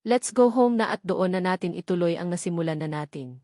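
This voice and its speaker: A woman voicing an amorous, tender male lover in a romance audiobook, with a soft, coaxing manner. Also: lowish voice, lively intonation, brisk, dry recording, clean studio sound.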